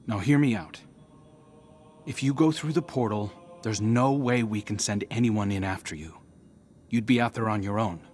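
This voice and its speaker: A man playing an earnest, resolute warrior prince in a video game, with a measured, pleading delivery. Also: on the low side, darkish tone, soft background music, close to an everyday voice. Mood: earnest, determined. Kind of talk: scripted game dialogue.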